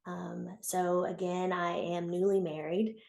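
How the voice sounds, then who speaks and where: A woman's voice: on the low side, level tone, slow, vocal fry, darkish tone. Level-voiced young woman nurse. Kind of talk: interview answers. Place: home room. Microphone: video call webcam.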